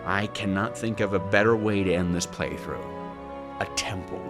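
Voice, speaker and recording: A man's voice: sits low, normal speed, music in the background. A man narrating a gaming video in a casual scripted style, with a playfully dramatic delivery.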